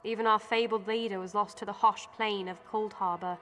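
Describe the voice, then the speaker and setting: A woman's voice: mid-range voice, steady tone, fairly quick, bright, forceful tone, lightly acted. A woman voicing an earnest, war-weary survivor in a video game, speaking with open emotion. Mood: weary, grieving. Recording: long hall reverb.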